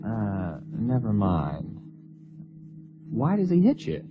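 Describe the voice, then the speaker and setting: An actor playing an irritable, educated university student with an American accent and a testy manner in an audio drama. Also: male voice, lowish voice, huge pitch swings, slow pace, vocal fry, highs cut off, over music, heavily acted. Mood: curious, wary. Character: exasperated, irritable male university graduate student.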